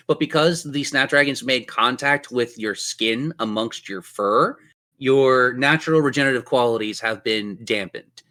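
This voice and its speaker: Man, game master, medium pitch, dramatic descriptive delivery, improvised tabletop narration. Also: a bit bright.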